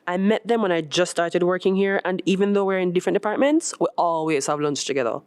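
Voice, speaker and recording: A woman voicing a friendly, outgoing young female office worker in a story reading, bright and inviting. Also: lowish voice, quick pace, clean tone, dry recording, close to an everyday voice. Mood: cheerful, sociable.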